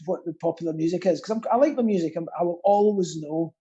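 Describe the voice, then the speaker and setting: A middle-aged man with a mellow, somewhat raspy voice hosts a quiz. He rambles in a camp, playful way and pokes fun at himself as he goes. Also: high voice, quick pace, muffled recording. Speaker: quiz host. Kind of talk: live online quiz banter.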